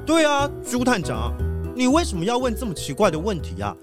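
A woman plays an earnest wolfdog sheriff in a children's radio play. The voice leaps up and down excitably, with a Taiwanese accent and light music underneath. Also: a little high, fairly quick. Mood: puzzled. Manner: exclamatory, earnest. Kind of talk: children's audio drama acting.

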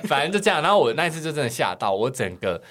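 A man's voice: a little high, big pitch swings, rapid-fire, fairly bright tone. A man in his thirties with a Taiwanese Mandarin accent, chatting candidly and crudely as a podcast guest telling personal stories. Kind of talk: casual chat, personal storytelling.

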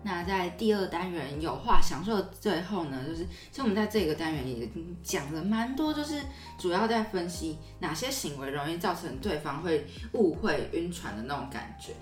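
A woman's voice: fairly low pitch, lively intonation, brisk, distant mic. A young woman with a Taiwanese Mandarin accent, a podcast host telling stories in a casual, explanatory way. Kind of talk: casual conversational storytelling. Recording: roomy echo, off-mic, music underneath.

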